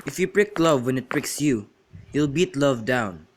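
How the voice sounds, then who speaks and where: A young man in an amateur radio drama, playing a witty, teasing young gentleman and declaiming in a bantering way. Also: mid-range voice, lively intonation, a little slow, close to an everyday voice. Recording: clearly noisy amateur recording with clicks.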